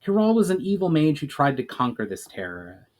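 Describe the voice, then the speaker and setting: Man playing a grave elf mage, animated delivery. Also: on the high side, a little fast, pitched above the performer's own voice. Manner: formal, earnest, explanatory. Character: grave, knowledgeable male elf mage on a quest.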